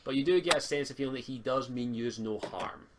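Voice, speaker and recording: A man improvising casual narration as game master of a tabletop roleplay, in a halting way. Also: medium pitch, noisy background.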